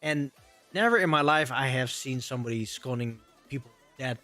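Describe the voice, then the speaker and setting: A man's voice: medium pitch, moderate pace, pushed and bright, soft background music. Hesitant male interviewer. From Netherlands. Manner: hesitant, fillers before questions, nonnative phrasing. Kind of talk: interview questions.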